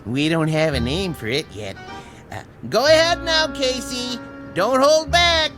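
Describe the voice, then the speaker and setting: Slow, swooping elderly inventor. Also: male voice, very high voice, very animated, over music, heavily acted. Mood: coaching. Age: older. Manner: precise, hesitant, proud. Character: earnest, gentle elderly male inventor professor. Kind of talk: old radio-drama acting.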